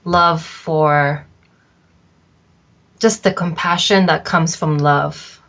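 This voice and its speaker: A middle-aged woman from Japan, an arts agency founder, answering interview questions in a thoughtful, measured way with frequent pauses. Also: low voice, steady tone, a bit bright, highs cut off.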